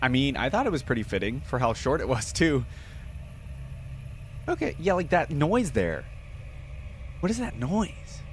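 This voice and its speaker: A man's voice: medium pitch, very animated, measured pace, long pauses, a little hiss or hum. A man hosting a gaming reaction video, giving informal, musing commentary as he thinks aloud.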